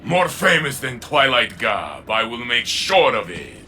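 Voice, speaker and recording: An actor playing a stalwart, devoted robot warrior in a video game, speaking in a solemn, measured, oratorical manner. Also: male voice, medium pitch, very animated, bright, forceful tone, slight background noise, exaggerated voice. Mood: solemn resolve. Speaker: game character. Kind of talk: scripted game dialogue.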